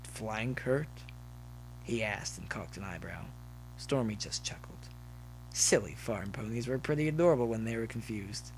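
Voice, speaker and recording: A man's voice: lowish voice, normal speed, slight background noise, poor audio. A man reading scripted story narration as an audiobook narrator.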